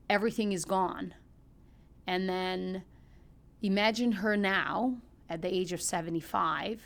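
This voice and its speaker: A woman's voice: lowish voice, fairly bright tone. A middle-aged woman from Ukraine with a Ukrainian accent, telling personal stories conversationally as a podcast guest, earnest and emphatic.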